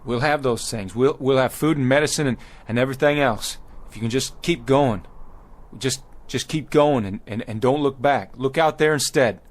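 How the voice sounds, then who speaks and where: An actor playing a desperate wagon leader. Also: male voice, medium pitch, moderate pace, lightly acted. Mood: determined. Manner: urgent, stammering, pleading. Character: desperate pioneer wagon leader pleading with settlers.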